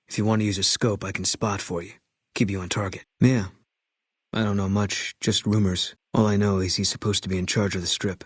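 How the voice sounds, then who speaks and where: An actor playing a terse, bitter ex-army sniper in a video game, speaking in clipped, blunt lines. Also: male voice, low voice, a bit bright, husky, dry recording, clean studio sound. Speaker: companion character in a video game. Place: recording studio. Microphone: close studio microphone.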